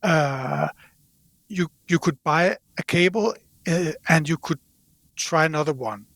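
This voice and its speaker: A man speaking as an interview guest in casual conversation, haltingly, repeating words as he thinks aloud. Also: fairly high pitch, fairly bright tone, no echo. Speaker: podcast guest from a loudspeaker company.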